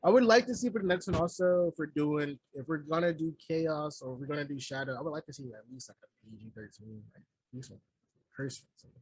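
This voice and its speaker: A man's voice: a little high, brisk, slight background noise, poor audio. A man co-hosting a movie review podcast, chatting casually in slang, cursing freely and repeating phrases to make his point.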